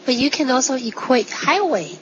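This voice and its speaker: Higher-pitched woman doctor explaining, slightly dull sound. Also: lively intonation. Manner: simple non-native phrasing, short halting phrases. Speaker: physician, interview guest. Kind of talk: conversational explaining with simple examples. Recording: dull sound, faint background noise.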